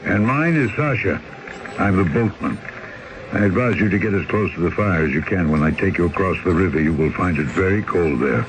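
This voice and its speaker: Deep-voiced, world-weary old ferryman; calm, fatalistic radio-play part on a hissy broadcast. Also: brisk. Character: weary older male boatman, fatalistic. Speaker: boatman character. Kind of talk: 1970s radio drama acting.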